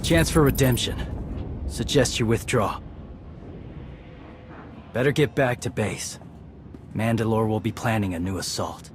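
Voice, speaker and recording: An actor playing a stoic young warrior scout in a video game, terse and matter-of-fact. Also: male voice, lowish voice, unhurried, pauses often, background noise, natural voice. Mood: stoic, grim. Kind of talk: cinematic video game acting.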